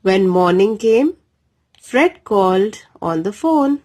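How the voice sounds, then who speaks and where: A woman reading a bedtime story aloud for young children in a deliberate, expressive way. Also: medium pitch.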